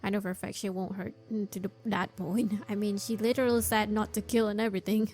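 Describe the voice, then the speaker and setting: A woman's voice: mid-range voice, flat delivery, fast, darkish tone. A woman doing casual, chatty gameplay commentary in informal non-native English. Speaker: game streamer. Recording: game music behind the voice. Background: video game music.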